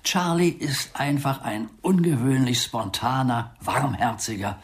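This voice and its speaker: A genteel London theatre agent in a radio drama, acted by a man. He has a medium-pitched male voice and speaks in polite, wordy, old-fashioned phrases, easily flustered and loyal to his clients. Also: animated, measured pace, slight background noise, close to an everyday voice. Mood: pleading, affectionate. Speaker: actor in a radio play. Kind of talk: German radio drama acting.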